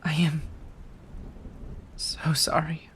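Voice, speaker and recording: A woman voicing a remorseful, possessive female vampire in an ASMR roleplay monologue, speaking in measured, halting, formal phrases with sighs. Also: sits low, flat delivery, a little hiss or hum. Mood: grieving, remorseful.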